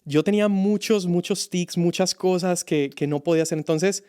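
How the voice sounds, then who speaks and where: A man from Colombia, a youth pastor telling his story as a podcast guest, reflective and halting. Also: sits high, warm tone, smooth. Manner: reflective, halting with many restarts. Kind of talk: casual podcast conversation.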